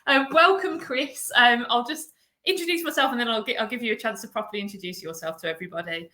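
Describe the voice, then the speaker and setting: A woman's voice: a little high, quick pace, pushed and bright, echoey room. A woman with a Birmingham accent hosting a live video interview, chatty, laughing easily and using many fillers. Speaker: host, agency director. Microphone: video call.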